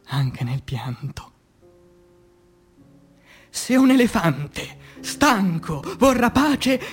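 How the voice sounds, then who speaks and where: A male actor performs a dramatic poetry recital for radio theatre in a high-set voice. His delivery is declamatory and impassioned, moving between hushed phrases and loud, forceful ones. Music plays softly behind him. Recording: music under the voice.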